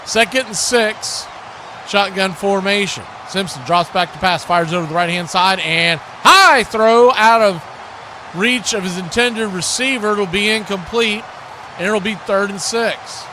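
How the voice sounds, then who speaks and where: A man's voice: high-pitched, unhurried, noisy background. A man hosting a livestream, calling football play-by-play and chatting casually with viewers. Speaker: livestream host and play-by-play commentator.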